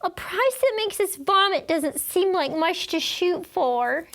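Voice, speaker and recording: Woman acting young boy, skeptical, pushy, high and swooping, blunt, storybook. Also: animated, slow, fairly bright tone, slight background noise, exaggerated voice. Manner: blunt, questioning. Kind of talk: children's storybook read-aloud.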